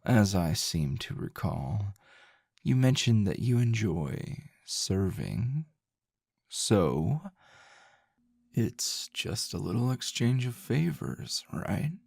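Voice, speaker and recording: A man playing a grumpy middle-aged teacher in an intimate ASMR roleplay, a teasing, possessive lover with a low, gravelly, husky murmur and a slow drawl. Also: big pitch swings, a little mellow, no echo, exaggerated voice. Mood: coy, seductive.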